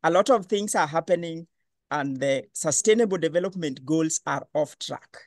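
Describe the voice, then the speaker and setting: A man hosting an online panel discussion, lively and questioning. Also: on the high side, normal speed, no echo, studio quality. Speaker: webinar host.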